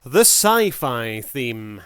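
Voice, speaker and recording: A man's voice: medium pitch, sing-song, very slow, over music. A man hosting a video review, talking casually off the cuff in a laid-back, wry way. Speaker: video reviewer and channel host.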